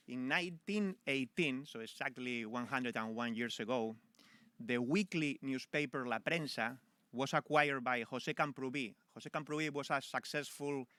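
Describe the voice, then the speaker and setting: A man's voice: mid-range voice, pushed and bright, clean tone, no echo. A man, a newspaper executive, giving an informal speech and telling a story to an audience at a public event.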